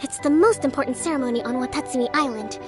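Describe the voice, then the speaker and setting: An actress playing a composed young female island leader in a video game, speaking in measured, formal phrases. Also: high voice, over music, natural voice. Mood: courteous, composed. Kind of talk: video game dialogue acting.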